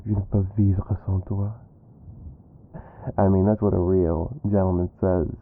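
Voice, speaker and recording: A man playing a smug, dominant mafia boss kidnapper with a gravelly low voice, teasing and menacing, in an ASMR roleplay style. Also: flat delivery, measured pace, narrow, tinny audio, heavily acted. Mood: chatty, smug.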